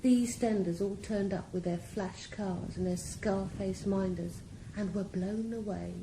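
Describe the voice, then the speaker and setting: A woman's voice: mid-range voice, steady tone, measured pace, lightly acted. An actress in a radio drama playing a ruthless female drug boss, cool, composed and mocking. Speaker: criminal mastermind. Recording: roomy echo, faint background hiss.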